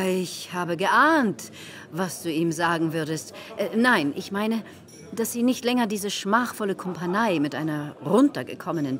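An actress playing a domineering, scheming society hostess in a radio play, insistent and theatrical in her mock concern. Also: on the low side. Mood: indignant. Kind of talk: German radio play acting.

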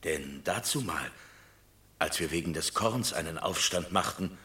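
An actor playing a plain-spoken, aggrieved Roman commoner in a classic radio play, blunt in manner. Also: male voice, deep voice, expressive, measured pace, pushed and bright, exaggerated voice.

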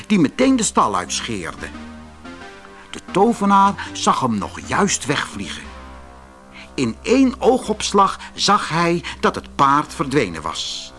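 Very animated male fairy tale narrator. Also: a little high. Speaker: audiobook narrator.

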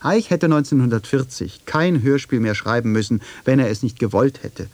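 A man delivering scripted radio feature narration and argument in a deliberate, probing manner. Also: mid-range voice, darkish tone, no echo.

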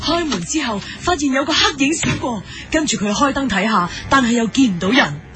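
A woman's voice: high voice, expressive, fairly quick, music in the background. A woman playing an earnest police officer who presents crime reconstructions, narrating in a dramatic, mock-serious radio parody style. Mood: suspenseful.

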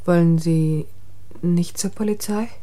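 An actress playing a frightened, bewildered woman in a radio play, speaking haltingly in a breathy, husky voice. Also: fairly low pitch, fairly even intonation, warm tone, lightly acted. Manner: halting, short uncertain replies.

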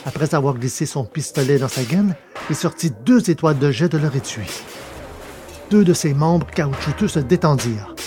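A man's voice: fairly high pitch, animated, moderate pace, warm tone, faint music behind. A man reading a scripted science-fiction story as its narrator.

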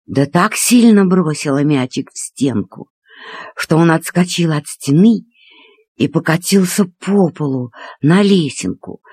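A woman narrating a children's story expressively, in a deliberate, dramatic storytelling manner. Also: low-pitched, expressive, darkish tone, husky, no room sound. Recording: cassette transfer with music behind.

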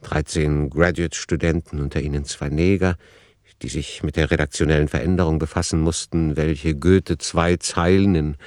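Male radio play narrator: deep register, factual, analytical, no room sound. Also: steady tone, normal speed, a little mellow, no room sound, studio quality.

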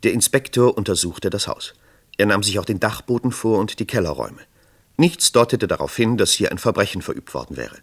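Man narrating a crime radio play, deepish husky voice, reading from a script. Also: lively intonation, fairly quick.

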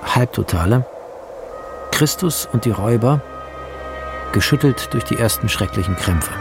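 Gravelly man reading a screenplay. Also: mid-range voice, expressive, measured pace. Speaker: narrator reading film shot descriptions. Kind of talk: scripted screenplay narration. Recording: clear music behind the voice.

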